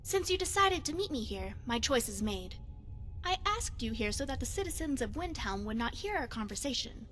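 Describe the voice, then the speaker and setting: A woman playing a poised, secretive spy devoted to her goddess in a video game, speaking in formal, measured phrases. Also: a little high, very animated, fairly bright tone. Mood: confiding, earnest. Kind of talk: scripted video game character dialogue.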